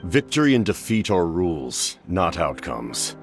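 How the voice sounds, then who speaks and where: Game villain-type warrior: extremely low, gravelly, formal and imperious, swinging intonation, over prominent music. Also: male voice, big pitch swings, a little slow, exaggerated voice. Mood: cold, proud. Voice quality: very deep, gravelly. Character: grave, proud male warrior of honor, injured. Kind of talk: dramatic video game acting.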